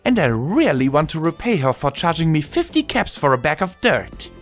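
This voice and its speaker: A man voicing a young female pony, a daughter who is cheeky and sulky, expressive in a storybook reading. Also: fairly high pitch, moderate pace, faint music behind, pitched above the performer's own voice. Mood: sly, playful. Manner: pleading, sly, expressive.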